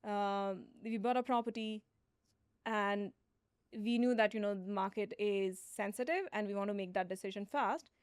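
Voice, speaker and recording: A woman's voice: mid-range voice, moderate pace, clear, resonant tone, no room sound, clean studio sound. A woman founder as a podcast guest, explaining steadily with frequent fillers. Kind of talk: conversational interview.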